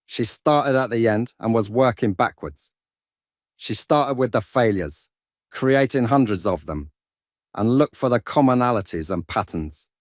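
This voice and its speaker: Man as measured religious brother, explanatory and formal, sci-fi audio drama, very dry studio sound. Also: mid-range voice, expressive, no echo, natural voice. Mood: explaining. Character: measured religious brother investigating a scientific mystery.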